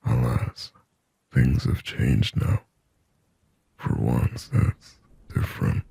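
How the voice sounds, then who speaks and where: A man voicing a lonely, possessive demon in a slow, intimate ASMR roleplay, whispering breathily and raspily close to the microphone with halting, brooding phrasing. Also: rumbling low, mellow, no room sound, exaggerated voice. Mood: tender, intense. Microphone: very close, dry microphone.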